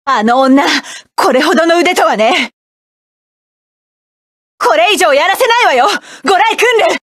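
A proud young woman pilot barks sharp, defiant commands in battle in a game. Her voice sits very high, and the studio recording is close and completely dry, with no room sound. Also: lively intonation, a little slow, dry recording, exaggerated voice. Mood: fierce, defiant. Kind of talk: game character voice lines.

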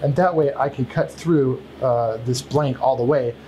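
A man's voice: medium pitch, unhurried, poor audio. Man, 30s, woodworking vlog host, easygoing shop-floor rambler, faint background hiss. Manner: relaxed, rambling with many fillers and restarts. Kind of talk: casual workshop vlog. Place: cluttered home woodworking shop, indoors. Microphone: camera at a few feet.

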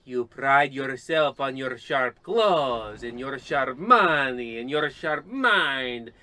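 A man's voice: mid-range voice, animated, slow pace, pushed and bright, heavily acted. A man playing a muddled, theatrical old woman, a rambling former teacher, in a parody of old radio drama. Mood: vengeful, theatrical.

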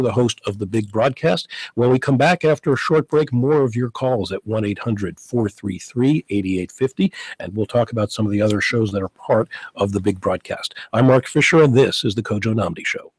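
A man's voice: mid-range voice, moderate pace, few pauses, no echo, rough recording. A middle-aged American man hosting a live radio interview at a close studio microphone. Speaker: radio host. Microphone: close studio condenser mic, headset on.

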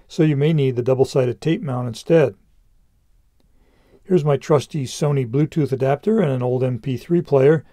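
Mellow man narrating a product review. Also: medium pitch, no room sound, studio quality.

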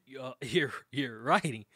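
Man playing a bright-voiced, bashful young student. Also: mid-range voice, slow pace, dry recording, studio quality. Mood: flustered. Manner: flustered, stammering. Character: awkward, earnest, bashful young male classics student. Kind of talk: modern audio drama acting.

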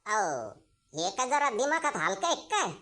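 Man playing a blunt, scoffing village uncle, bright voice. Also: very high voice, sing-song, normal speed, heavily acted. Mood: scornful. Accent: rural Maharashtrian. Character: blunt, skeptical village uncle arranging a match. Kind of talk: comic cartoon dialogue.

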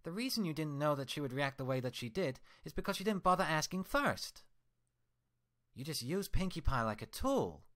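A man's voice: fairly high pitch, very animated, moderate pace, fairly bright tone, pitched above the performer's own voice. A man voicing a furious young female unicorn scholar who scolds indignantly. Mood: angry scolding.

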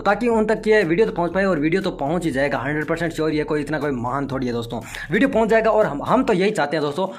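Male online commentator, rapid delivery, high voice, addresses the audience directly. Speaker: YouTube commentator.

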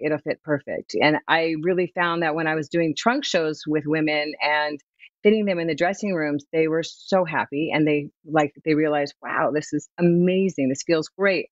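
A woman's voice: sits low, dry recording. Businesswoman on a dull video call. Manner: lively, chatty, laughs easily. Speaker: swimwear brand founder, guest. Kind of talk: promotional interview chat. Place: home room, remote video call.

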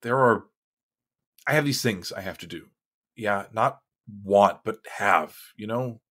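Man acting a low, weary archer hero. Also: unhurried, fairly bright tone. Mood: halting, earnest. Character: weary, wry male superhero archer, gruffly protective. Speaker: voice actor in a fan motion comic. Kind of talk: dramatic comic-book voice acting with narration.

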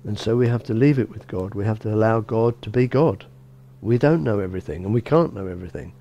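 A middle-aged man, a bishop, gives a relaxed sermon in a small church, talking conversationally with frequent restarts and "you know". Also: lowish voice, quick pace, muffled recording. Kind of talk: informal sermon.

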